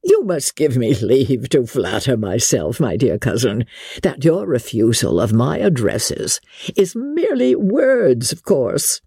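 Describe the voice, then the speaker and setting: Woman playing a pompous, husky gentleman suitor. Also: very deep voice, very animated, slow, no echo, clean studio sound, heavily acted. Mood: smug, condescending. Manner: pompous, long-winded, unctuous. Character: pompous, self-satisfied male clergyman suitor.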